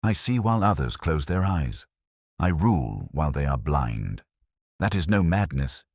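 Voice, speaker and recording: A man voicing a coolly grandiose, fanatical murderer in an audiobook, with a calm, menacing, declamatory delivery. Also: rumbling low, normal speed, breathy edge, no echo, clean studio sound, full character voice. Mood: fervent, cutting. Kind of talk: dramatized audiobook villain.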